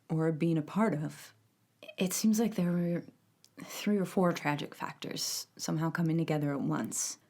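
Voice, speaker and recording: A woman's voice: deep voice, darkish tone. A woman playing a sober witness who reflects on tragic stories in a thoughtful, grave, interview-like audio drama monologue.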